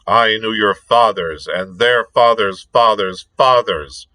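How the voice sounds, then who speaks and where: A man voicing an ancient, cruel female witch, with a shrill falsetto and cackling laughter. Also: slow pace, sharp tone, no echo, poor audio. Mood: shrieking, cackling. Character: ancient shrieking hag witch, cruel and defiant.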